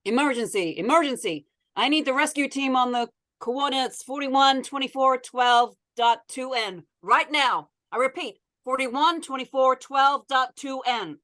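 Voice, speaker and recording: A woman playing an alarmed, brisk flight controller with an urgent official delivery in a script read. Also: sits high, fairly bright tone. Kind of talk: screenplay table read acting.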